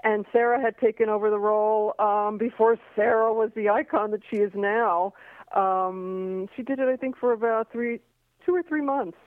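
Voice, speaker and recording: A woman's voice: mid-range voice, steady tone, moderate pace, no room sound. A woman from Queens, New York, whose first language is Slovak, chatting as a guest in an interview, talking freely with many fillers and restarts. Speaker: singer and Broadway performer, guest. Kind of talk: casual interview chat. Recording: tinny phone line.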